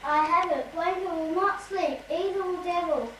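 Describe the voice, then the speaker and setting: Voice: very high-pitched, moderate pace, recorded from a distance. A young performer voicing a scheming villain leader in an amateur puppet show, on an echoey, noisy home video. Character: scheming villain leader plotting to rule the planet.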